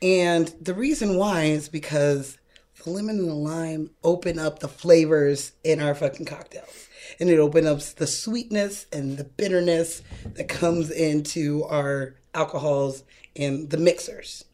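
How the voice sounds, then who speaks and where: A woman's voice: deep voice, unhurried, warm tone. Informal, sweary woman explaining things casually. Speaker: podcast co-host. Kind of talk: casual chat.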